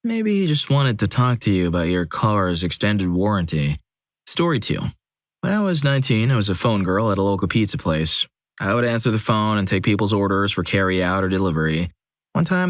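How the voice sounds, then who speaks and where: A man narrating a compilation of first-person stories in a steady, scripted reading, with dry, wry asides. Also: fairly low pitch, dry recording.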